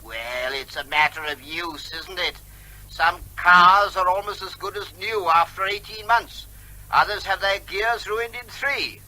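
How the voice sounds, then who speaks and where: An actor playing a mock-polite, evasive neighbour who needles and quibbles in breezy, pedantic fashion in a comic radio play. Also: male voice, high-pitched, a little slow, pushed and bright, heavily acted, low-quality recording. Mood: smug teasing. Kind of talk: comic radio drama acting.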